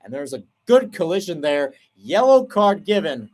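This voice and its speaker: A man's voice: fairly high pitch, big pitch swings, a little mellow. Man calling soccer play-by-play. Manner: brisk flowing call, rising sharply on chances; self-correcting, explains tactics between plays. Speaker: play-by-play commentator.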